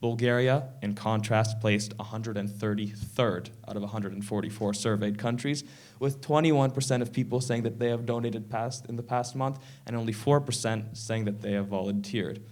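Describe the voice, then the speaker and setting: A man's voice: lowish voice, fairly even intonation, moderate pace, a little mellow. Earnest teenage boy giving a stage talk. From Canada. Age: teens. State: slightly sick, clearing throat. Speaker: presenter. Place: event stage.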